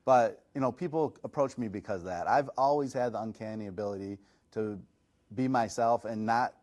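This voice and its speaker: Laid-back guy, lowish voice, chatty podcast guest, pokes fun at himself. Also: fairly quick. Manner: relaxed, self-deprecating, chatty with fillers. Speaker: chiropractor and massage chair reviewer. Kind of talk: casual podcast interview answers.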